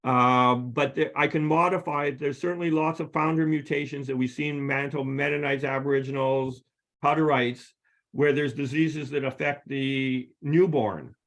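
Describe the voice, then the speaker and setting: A man's voice: mid-range voice, steady tone, normal speed, a bit bright. A physician lecturer answering questions after a talk, hesitant, restarting his sentences often.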